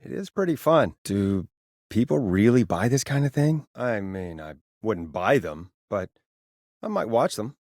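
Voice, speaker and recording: An actor voicing a wry, harried late-night radio DJ in a video game, with a dry, sardonic and expressive delivery. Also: male voice, fairly low pitch, measured pace, no echo, full character voice. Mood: dry, weary disbelief. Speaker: radio show host. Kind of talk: video game voice acting, radio patter and reactions.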